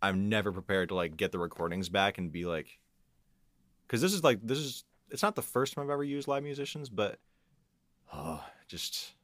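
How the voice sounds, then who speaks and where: A man's voice: on the low side, normal speed, a bit bright, no echo, studio quality. A man chatting casually as a guest on a podcast.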